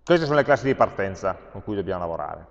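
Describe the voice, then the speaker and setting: A lower-pitched Italian man talks a class through writing code, pausing and filling gaps while he thinks aloud. The capture is dull and lo-fi, with a long hall echo trailing each phrase. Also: big pitch swings, creaky. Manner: thinks aloud while typing code, many pauses and fillers. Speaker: university lecturer. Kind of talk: live-coding programming lesson.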